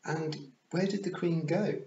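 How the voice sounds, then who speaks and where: A man's voice: fairly high pitch, measured pace, darkish tone, echoey room, highs cut off, voice pushed up, close to an everyday voice. A man voicing a plain-spoken young girl in a storybook reading, earnest and questioning.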